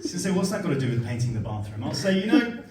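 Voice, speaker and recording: Young english guy with a plummy accent doing stand-up, kinda high voice, echoey venue, crowd roaring. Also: sing-song, quick pace. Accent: posh English. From Somerset, England. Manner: plummy, dryly self-mocking. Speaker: stand-up comedian. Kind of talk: stand-up comedy set. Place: comedy venue with live audience. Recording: roomy live stage sound from a distance, loud crowd laughter.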